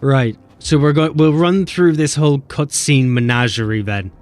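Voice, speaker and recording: A man's voice: medium pitch, faint music behind. A man streaming a game, chatting casually and swearing as he bickers with chat. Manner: animated, swearing, quick banter with chat.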